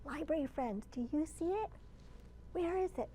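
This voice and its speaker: A woman librarian reading a picture book aloud for young children, with deliberate phrasing and questions put to her listeners. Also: sits high, animated, dark tone, slight background noise.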